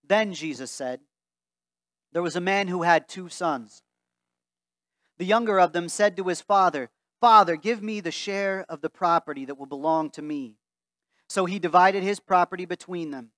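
High-voiced pastor reading scripture. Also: male voice, moderate pace, fairly bright tone, no echo. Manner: earnest, reads aloud from a Bible while standing. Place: church sanctuary before a stained-glass wall.

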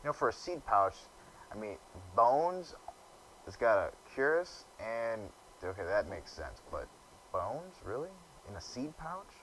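A young man with a low, deep voice giving casual let's play commentary, grumbling and stumbling over quest text he reads aloud. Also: big pitch swings, a little slow, fairly bright tone, a little hiss or hum, rough recording. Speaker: gamer.

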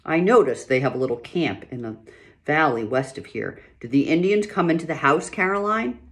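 A stern but loving frontier father voiced by a reader scolds and reassures his daughters in a read-aloud storybook. His voice is middle-pitched and plain, pushed a little louder and more insistent than the narration. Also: animated, normal speed, natural voice. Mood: hearty. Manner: firm, emphatic, scolding the girls. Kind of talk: reading a children's novel aloud.

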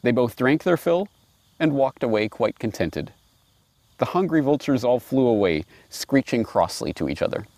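A man's voice: mid-range voice, animated, normal speed, a little mellow. Middle aged guy reading and musing, kinda wry, voice swoops around a lot, no echo at all. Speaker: presenter reading fables aloud and commenting. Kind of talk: reflective commentary and storybook reading. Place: outdoors in a grassy park. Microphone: lapel.

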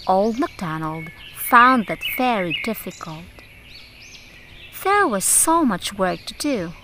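A woman teacher reading a storybook aloud for young children in a deliberate, sing-song way, addressing them directly. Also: mid-range voice, big pitch swings, background noise. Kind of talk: slow, animated read-aloud for young children. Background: music.

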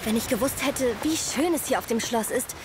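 High-voiced, eager young woman, played by an actress. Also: moderate pace, over music, close to an everyday voice. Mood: delighted, friendly. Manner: breezy, apologetic, slightly flustered. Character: polite, eager young female holiday guest. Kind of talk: German radio play acting. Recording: radio play with outdoor ambience.

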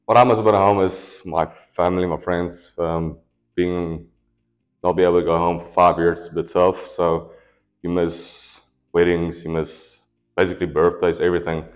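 A South African-born rugby player talking casually on a panel in short, plain sentences with a dry humor. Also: male voice, low voice, little pitch movement, moderate pace. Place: indoor room with a branded backdrop.

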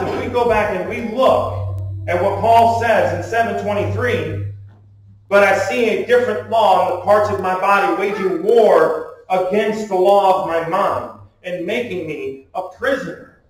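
A man in his forties preaching a sermon from a pulpit, emphatic, often putting questions to the listeners. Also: high-pitched. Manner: emphatic, with rhetorical questions and calls for amen. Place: small church sanctuary, at a wooden pulpit indoors. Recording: distant, very echoey room sound.

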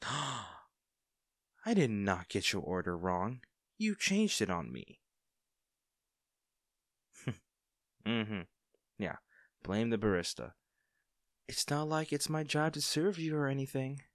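A man's voice: medium pitch, big pitch swings, takes it slowly, long pauses, no echo, clean studio sound. A man playing a friendly, caring young barista in an intimate audio roleplay, talking in a casual, hesitant and attentive way. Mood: playful, teasing. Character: friendly, caring young male barista, teasing and easily flustered.

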